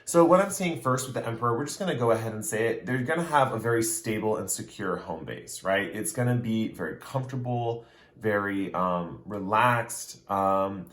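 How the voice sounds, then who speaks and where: A man's voice: medium pitch, fairly bright tone. A man giving a casual pick-a-card tarot reading, talking straight to the viewer in a loose, rambling way that restates its points. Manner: chatty, speaks straight to the viewer. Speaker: tarot reader.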